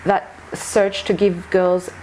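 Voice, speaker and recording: A woman's voice: medium pitch, little pitch movement, slow pace, background noise. A woman speaking as a guest in a conversational discussion, thoughtful and earnest. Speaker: podcast guest.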